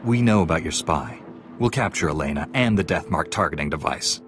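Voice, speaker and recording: Deep-voiced, resolute Jedi knight. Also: male voice, animated, fairly quick, over music. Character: earnest young Jedi knight hero, composed and resolute. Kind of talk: video game cutscene acting.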